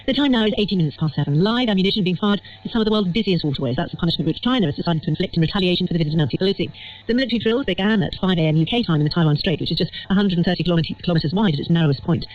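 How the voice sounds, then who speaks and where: A woman's voice: fairly low pitch, rapid-fire. A British woman presenting live radio news and putting questions to guests as an interviewer. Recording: slightly dull radio broadcast.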